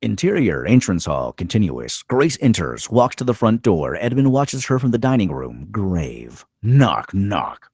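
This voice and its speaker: A man reads screenplay directions as a dramatic narrator. His voice is very deep and husky, swinging widely in pitch, in a dry recording. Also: very animated, unhurried, hardly any pauses, darkish tone, no room sound.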